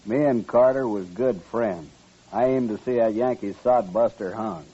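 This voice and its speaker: A man's voice: on the low side, moderate pace, mellow, breathy edge. A man playing a surly, evasive cowhand in an American radio western, with a sullen, folksy way of talking. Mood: sullen, flat. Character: surly, evasive cowhand with a grudge, Old West. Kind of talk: 1950s radio western acting. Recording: muffled old-time radio recording.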